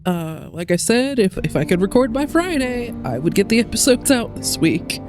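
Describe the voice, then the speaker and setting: A woman's voice: on the high side, big pitch swings, moderate pace, music in the background. A woman with a congested, recovering-from-a-cold voice, chatting casually as she plays a game and thinks aloud. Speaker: let's play host. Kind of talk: casual gameplay commentary.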